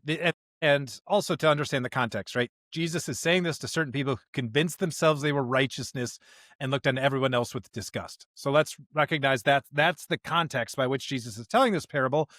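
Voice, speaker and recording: A man's voice: on the high side, fairly bright tone, no echo, studio quality. A man co-hosting a podcast, discussing and reading scripture in an emphatic, conversational way. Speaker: podcast co-host.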